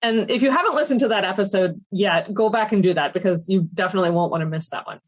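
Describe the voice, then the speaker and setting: A woman's voice: mid-range voice, fast. A woman co-hosting a podcast, chatty and upbeat, speaking while she has a cold. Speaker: podcast co-host.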